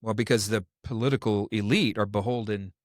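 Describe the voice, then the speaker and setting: A man's voice: fairly low pitch, fairly even intonation, a little fast, no room sound, studio quality. A man hosting a political podcast, opinionated and rambling, restarting his sentences often. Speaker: podcast host. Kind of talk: casual political discussion.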